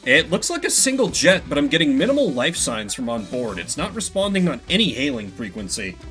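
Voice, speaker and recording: A man voicing a whiskered animal mission handler who briefs a pilot in a measured, warning tone. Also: fairly high pitch, big pitch swings, sharp tone, faint music behind. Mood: grave.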